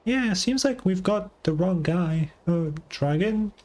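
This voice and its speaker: High-pitched chirpy little companion, voiced by a man. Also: expressive, takes it slowly, a little mellow. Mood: curious, cheeky. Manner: casual, chirpy. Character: small chatty curious fairy companion, high-voiced and cheeky. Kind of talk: reading game dialogue aloud.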